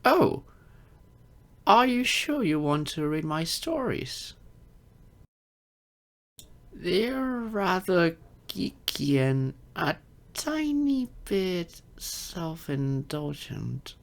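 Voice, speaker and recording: Man acting as awkward geeky fox guy, swooping pitch. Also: sing-song, extremely slow, vocal fry, pauses often, full character voice. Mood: shy, playful. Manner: awkward, hesitant, self-deprecating, stammering. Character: insecure geeky adult silver fox spirit on blind date. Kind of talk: ASMR-style audio roleplay acting.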